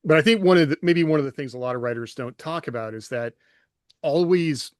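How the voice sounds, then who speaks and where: A man's voice: on the high side, brisk, no echo, studio quality. A man co-hosting a writing podcast in casual chat, talking through ideas at length with many fillers and restarts. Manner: thoughtful, rambling with fillers. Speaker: podcast co-host.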